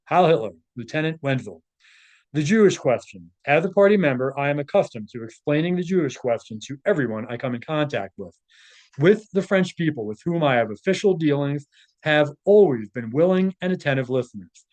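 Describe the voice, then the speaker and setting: Higher-pitched man reading aloud. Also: darkish tone. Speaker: presenter reading translated articles aloud. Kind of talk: reading aloud with informal asides.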